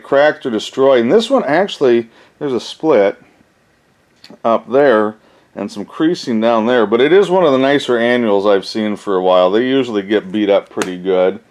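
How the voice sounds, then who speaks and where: A man's voice: medium pitch, darkish tone. A middle-aged man, talking in a folksy, rambling, informal way as he casually shows off collectibles. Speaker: collector and seller showing his flea market finds. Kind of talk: casual show-and-tell vlog.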